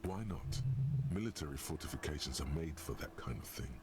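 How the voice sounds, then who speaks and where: An actor voicing a brooding male narrator in a video game, speaking in a slow, somber, descriptive way. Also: extremely low pitch, expressive, a little hiss or hum. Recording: narration over game music.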